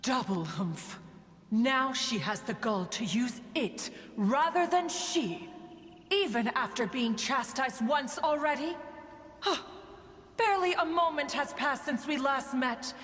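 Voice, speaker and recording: A woman's voice: sits high, expressive, slow, fairly bright tone, exaggerated voice. A woman voicing a haughty, ancient immortal bird spirit in a video game, speaking in a formal, archaic, imperious way. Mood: indignant. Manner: imperious, formal, archaic, refers to self as one. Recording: long hall-like reverb.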